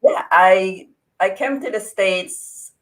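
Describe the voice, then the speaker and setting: Indonesian-accented woman, earnest and halting. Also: mid-range voice, low-quality recording. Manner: earnest, laughs often, halting restarts. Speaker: interview guest, grief coach. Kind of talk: conversational interview.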